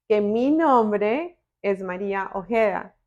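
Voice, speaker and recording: Unhurried Colombian woman, reflective. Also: mid-range voice, animated, lo-fi sound. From Bogotá, Colombia. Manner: deliberate, reflective, with pauses. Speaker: podcast guest. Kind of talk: reflective personal interview talk.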